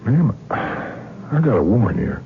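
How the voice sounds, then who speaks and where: Folksy, low-voiced small-town lawman. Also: big pitch swings, takes it slowly, vocal fry, exaggerated voice. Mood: apologetic, cautioning. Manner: hesitant, folksy, drawling phrases. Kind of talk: old-time radio drama acting. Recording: muffled vintage radio with faint noise.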